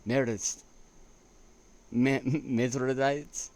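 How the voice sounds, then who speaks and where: A man reading poetry aloud as an amateur, in a halting way with stumbles and restarts. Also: medium pitch, little pitch movement, a little mellow. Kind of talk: poetry recitation with casual asides. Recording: noticeable background noise.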